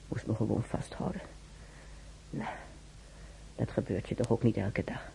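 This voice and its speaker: A woman's voice: rumbling low, level tone, measured pace, dark tone, heavily acted. A woman playing a talkative, wry old hospital patient and former maid in a radio drama, rambling colloquially and muttering to herself. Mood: wistful muttering. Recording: clearly noisy old radio recording.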